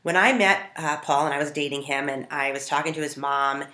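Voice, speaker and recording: Low-pitched American woman, bright and echoey. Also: a little fast. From Montana, United States. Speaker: home cook hosting a food video. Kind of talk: casual storytelling to camera. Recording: roomy, echoing sound.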